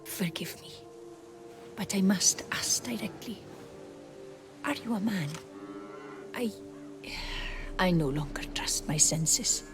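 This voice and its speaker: An actress playing a haunted, fragile woman in a video game, speaking formally in a halting, anguished way. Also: medium pitch, lively intonation, whispered, over music. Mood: wary, distrustful.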